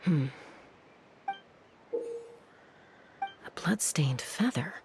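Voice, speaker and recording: A woman playing a cool, observant, level-headed peacekeeper in a video game, terse and measured. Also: low voice, animated, noisy background. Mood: pensive.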